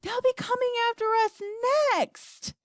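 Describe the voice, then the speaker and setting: A woman's voice: high-pitched, big pitch swings, normal speed, dry recording, clean studio sound, pitched above the performer's own voice. A woman voicing a bossy, alarmed old grandmother in a storybook, screaming and scolding her demands. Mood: furious panic. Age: older.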